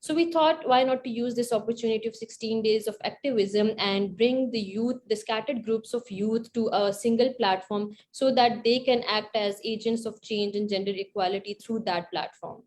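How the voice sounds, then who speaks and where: A woman's voice: on the high side, moderate pace, hardly any pauses, a little mellow, slight background noise. A woman with a Pakistani accent presenting formally as an activist on a panel. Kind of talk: formal self-introduction on a panel.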